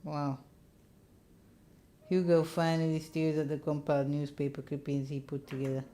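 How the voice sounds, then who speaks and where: A woman's voice: low-pitched, level tone, moderate pace, dark tone. A woman narrating a visual novel playthrough, reading the text aloud with casual asides.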